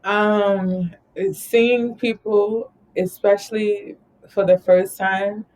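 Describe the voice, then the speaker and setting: A woman's voice: mid-range voice, steady tone, takes it slowly, a little mellow, rough recording. A woman from New York talking casually as an interview guest, rambling and laughing often. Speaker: guest, travel company founder.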